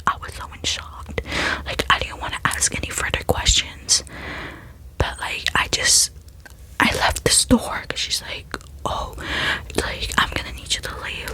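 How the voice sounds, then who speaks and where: A young woman speaks in a soft, unvoiced whisper, as in an ASMR storytime. Her delivery is casual and rambling, full of "like" and "you know". The breathy whisper carries the whole narration. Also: poor audio.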